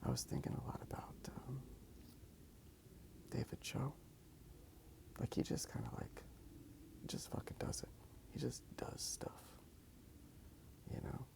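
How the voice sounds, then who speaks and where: A man whispers in a very creaky, gravelly voice at a middling pitch. He rambles softly and casually, in the manner of an ASMR video made while drawing. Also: sing-song, a little slow, long pauses.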